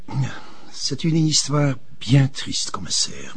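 An actor playing a pompous, influential notary in a TV drama, speaking with a Flemish accent, formal and hesitant, slipping into French phrases. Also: male voice, medium pitch, sing-song, takes it slowly, slightly rough, heavily acted. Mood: formal, wistful. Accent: Flemish Belgian. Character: smooth-mannered, pompous, influential male notary. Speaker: actor in a police drama series.

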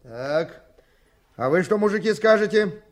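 A man's voice: high-pitched, expressive, measured pace. An actor playing a resolute, plain-spoken foreman of a timber rafting crew in a Soviet radio drama. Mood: frank, consulting.

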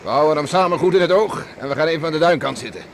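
A man's voice: on the high side, very fast, natural voice. An actor playing a hearty, teasing older father and horseman in a Dutch radio drama. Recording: noisy archive radio play recording.

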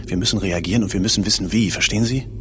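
An actor in a radio play, playing a formal middle-aged boss who confronts an employee in a measured, insistent manner. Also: male voice, on the low side, fairly even intonation, noisy background, lightly acted. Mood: formal, pressing.

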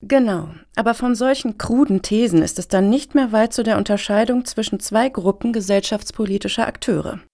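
A woman's voice: medium pitch, moderate pace, few pauses, mellow, close to an everyday voice. An actress playing an earnest, self-important middle-aged woman activist in a satirical radio play, speaking formally and wordily. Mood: indignant.

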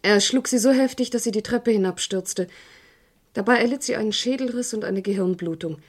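A woman's voice: medium pitch, fairly quick, natural voice. Composed, formal woman with a secret acted. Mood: composed, guarded. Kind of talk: German crime radio play acting.

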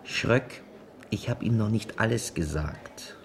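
A man's voice: on the low side, takes it slowly, a little hiss or hum. A man playing a pompous, embarrassed police chief in a radio crime comedy, speaking formally and evasively. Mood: indignant, flustered. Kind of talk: German radio play dialogue.

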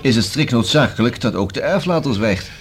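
A man's voice: medium pitch, animated, a little fast, a little hiss or hum, close to an everyday voice. An actor playing a polite, probing man who questions a notary in a Dutch radio play.

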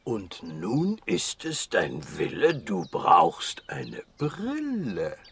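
Very animated, kindly animal doctor. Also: male voice, on the low side, extremely slow, a little hiss or hum, full character voice. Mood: reassuring. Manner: expressive, rhyming. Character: kindly male animal doctor, witty and patient. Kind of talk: children's radio play acting.

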